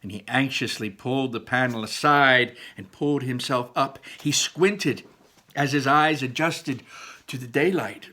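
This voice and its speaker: A man's voice: medium pitch, measured pace, pushed and bright. A man reading aloud to children as a storyteller, dramatic and emphatic, with simple explanatory asides.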